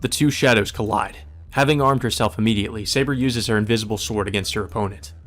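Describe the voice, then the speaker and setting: A man's voice: on the low side, quick pace, a bit bright, soft background music. A man reading visual novel narration aloud in a dramatic first-person storytelling style for a let's play.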